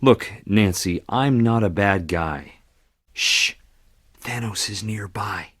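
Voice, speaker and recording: A man's voice: lowish voice, slow, fairly bright tone, slightly rough, no echo, clean studio sound, exaggerated voice. An actor playing a nervous young man who is in over his head, earnest and anxious, in a video game. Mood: hushed, earnest.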